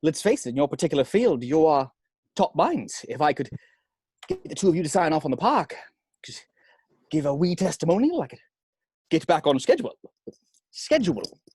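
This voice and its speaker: A man's voice: fairly high pitch, sing-song, normal speed, pauses often, darkish tone, slightly rough, no room sound, clean studio sound. A man playing a charming, brash seventy-year-old tycoon in a script table read, with a jovial, showy delivery. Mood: persuasive.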